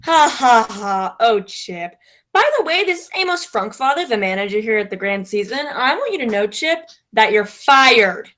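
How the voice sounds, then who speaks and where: Blustery, scornful, animated, woman playing a hotel manager, comic radio drama. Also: a little high, moderate pace. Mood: smug, mocking. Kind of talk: comic radio play acting.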